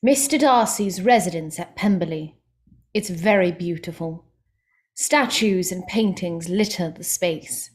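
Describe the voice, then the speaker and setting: A woman's voice: lowish voice, unhurried. A woman giving scripted narration as the stage-directions reader of a drama reading.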